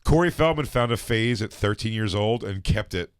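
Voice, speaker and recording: A man's voice: on the low side, expressive, normal speed, clear, resonant tone, no room sound, studio quality. Laughing man hosting a comedy show. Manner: laughs a lot, acts out other people. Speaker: host. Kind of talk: casual comedy chat.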